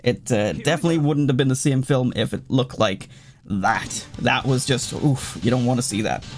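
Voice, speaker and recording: A man's voice: mid-range voice, normal speed. A man giving casual, rambling game commentary, chatting to the game as he plays. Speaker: let's player commentating a game. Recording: loud game music behind voice. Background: video game music.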